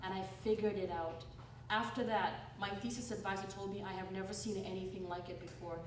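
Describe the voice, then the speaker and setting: A woman's voice: mid-range voice, brisk. Woman with a Malawian-tinged accent, roomy hall. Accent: Malawian mixed with American and British. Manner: animated storytelling, acting out quoted voices. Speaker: author and speaker. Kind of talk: talk at a book event. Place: church hall. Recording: roomy hall, noisy, from a distance.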